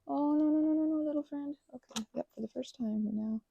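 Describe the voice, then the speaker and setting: Soft-spoken gravelly-voiced woman rambling. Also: high voice, fairly quick, phone-quality sound. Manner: meandering, self-interrupting, soft whispers and mild exclamations. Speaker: ASMR creator. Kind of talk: soft rambling ASMR craft chat.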